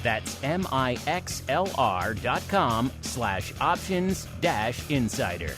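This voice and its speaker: A man reading a scripted network announcement in a smooth promotional delivery. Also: mid-range voice, big pitch swings, slow. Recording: announcement over loud guitar music.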